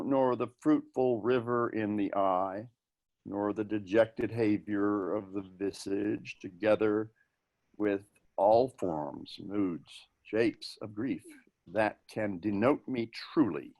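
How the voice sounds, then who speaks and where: A man playing a bitter, grieving young prince, reading Shakespearean verse in a somber, deliberate manner. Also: fairly low pitch, darkish tone. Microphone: video call.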